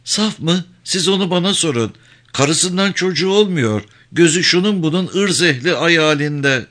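A man voicing a vain, flirtatious, sharp-tongued married woman from a novel, coquettish and easily indignant. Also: on the high side, animated, normal speed, pitched above the performer's own voice. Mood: scornful.

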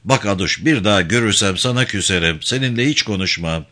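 A man playing a courteous but stern gentleman prisoner in a novel, formal, measured and admonishing. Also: fairly low pitch, lively intonation, voice pushed down.

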